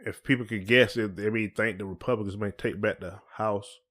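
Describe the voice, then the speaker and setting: A man's voice: fairly low pitch, fairly even intonation, a little fast, a bit bright. A man with an American accent hosting a podcast in casual, colloquial conversation. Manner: colloquial, often checks in with "you know". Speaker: podcast host.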